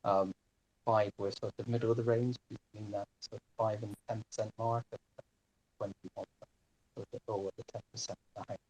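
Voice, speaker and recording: A man's voice: lowish voice, level tone, moderate pace, a little mellow, slightly rough, no room sound, rough recording. A man informally reporting his findings in an online audio discussion, polite and hesitant, often hedging as he explains. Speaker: participant presenting his own research. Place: live online audio chat room.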